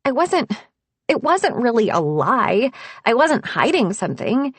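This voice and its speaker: A woman voicing a bubbly, fast-talking young woman who is the narrator's best friend, chattering with cheer. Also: fairly high pitch, big pitch swings, slightly rough, no room sound, voice pushed up, full character voice. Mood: nervous. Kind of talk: audiobook character voice.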